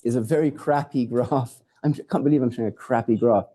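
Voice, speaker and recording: A man lectures to a hall audience in a very dark, mellow voice. His delivery is loose and conversational, full of asides, jokes and the occasional curse. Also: medium pitch, fast talker. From born in Hawaii, raised in Portugal and England. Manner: informal, digressive, jokey, swears for effect. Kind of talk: academic talk with slides.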